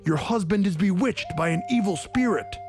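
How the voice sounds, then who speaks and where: A man voicing a weary, loyal male wolf who speaks gravely and slowly in a told story. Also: high-pitched, animated, music in the background.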